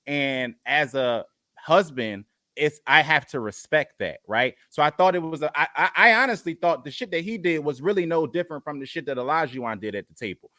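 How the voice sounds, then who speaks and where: Casual male co-host, bright forward voice, dry close sound, conversational and easygoing. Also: on the high side, expressive, brisk, no room sound. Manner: conversational, laid-back, repeats "you know what I mean". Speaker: podcast co-host; married partner of co-host. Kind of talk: casual reality TV review chat.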